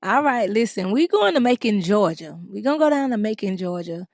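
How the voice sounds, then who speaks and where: A woman in her forties shares advice on a podcast, her voice slightly gravelly and recorded close and dry. She talks in a chatty, meandering way, stringing thoughts together with lots of "you know." Her pitch sits mid-range. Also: big pitch swings, a little mellow, no room sound. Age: 40s. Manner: chatty, drawn-out phrasing with many "you know"s. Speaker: podcast co-host. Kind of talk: casual advice chat, reading a listener letter.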